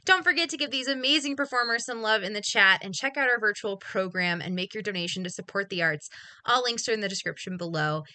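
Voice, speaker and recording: A woman's voice: medium pitch, fast talker, bright, forceful tone, no echo. A woman presenting as host in a casual livestream style.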